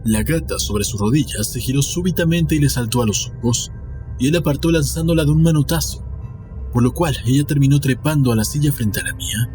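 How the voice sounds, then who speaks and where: A man's voice: medium pitch, brisk. A man reading a scripted story aloud as a dramatic audiobook narrator. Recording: music behind the voice. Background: music.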